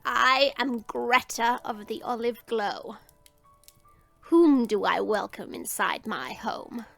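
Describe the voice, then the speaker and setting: A woman playing a kindly, ailing old village witch in a fantasy audio drama, speaking gently and formally. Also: fairly high pitch, lively intonation, slow, vocal fry, no room sound, soft background music, full character voice. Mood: calm, welcoming. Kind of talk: fantasy audio roleplay acting.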